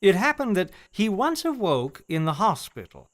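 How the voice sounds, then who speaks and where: A courteous, inquisitive scholar in a radio drama. His pitch is a little high and moves in a lively way, with formal, polite phrasing. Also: normal speed. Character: curious, courteous gentleman scholar probing strange mysteries. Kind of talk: radio play acting.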